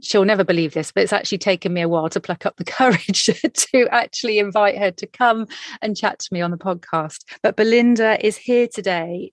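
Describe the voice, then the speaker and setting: A middle-aged British woman hosts a podcast in a rather low voice. She reads her introduction eagerly, and the recording sounds dry and close. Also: no room sound. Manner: enthusiastic, warm laughter. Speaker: podcast host. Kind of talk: scripted podcast introduction then interview.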